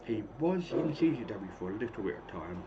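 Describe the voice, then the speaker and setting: A hoarse man in his thirties with a lowish voice rambles through play-by-play of a wrestling game on his stream, stopping often to clear his throat. The audio is dull and lo-fi, with a steady hiss of noise underneath. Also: fairly quick. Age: 30s.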